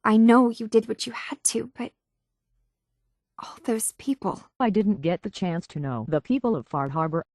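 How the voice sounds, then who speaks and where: Woman acting as troubled young game heroine, earnest, halting, hushed, searching, dry studio-like sound. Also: mid-range voice, no echo, close to an everyday voice. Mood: regretful, subdued.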